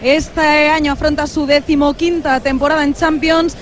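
A woman reading a scripted sports report in a measured way. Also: sits high, little pitch movement.

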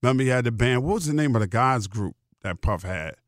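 A man's voice: medium pitch, lively intonation, fast talker, no echo, studio quality. A middle-aged American man hosting a solo podcast, talking casually in a rambling, emphatic way with repeated phrases and salty slang. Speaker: podcast host.

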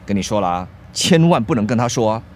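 A man's voice: lowish voice, animated, quick pace, a little mellow, slight background noise, close to an everyday voice. A male actor in a radio drama playing a proud, easily flustered young driver with a Singaporean accent, blustering and stammering. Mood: defensive, curt. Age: young adult.

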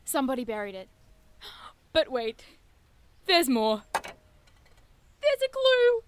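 A very high-voiced, earnest young woman played by an actress, bookish and excitable, working through mysteries in an audio drama. She takes her time and mutters precisely, with faint noise behind her. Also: takes it slowly, exaggerated voice. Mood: excited.

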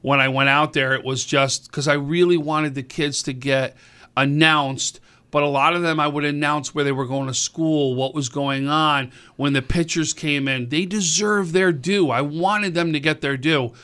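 Booming, bright-voiced man. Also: normal speed, clean tone. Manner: excited, rambling, repeats words. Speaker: high school sports commentator and event organizer. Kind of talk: casual radio sports talk.

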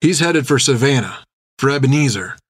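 A man's voice: medium pitch, expressive, moderate pace, breathy edge, dry recording, clean studio sound. A man voicing a bold, eager young female sailor, direct and spirited, in an audiobook adventure. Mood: certain.

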